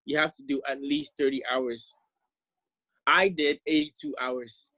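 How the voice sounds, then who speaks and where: A young man from Ghana with a Ghanaian accent softened toward American, giving a friendly, enthusiastic talk over slides. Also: mid-range voice, steady tone, a little slow, no room sound, low-quality recording. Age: young adult. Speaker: exchange alumnus presenter. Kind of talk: casual presentation over slides.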